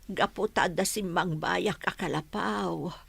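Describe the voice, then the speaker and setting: An actress with an Ilocano accent playing an aggrieved, determined village daughter in a radio drama. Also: female voice, lowish voice, fairly even intonation, slow pace, vocal fry, fairly bright tone, husky, no echo, heavily acted. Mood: grieving.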